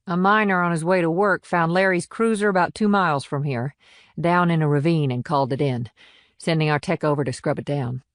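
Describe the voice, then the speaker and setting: A man's voice: a little high, fairly quick, a bit bright, no room sound, studio quality, pitched above the performer's own voice, close to an everyday voice. A man voicing a tough, determined female police sergeant in an audiobook, with a firm, no-nonsense manner. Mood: businesslike.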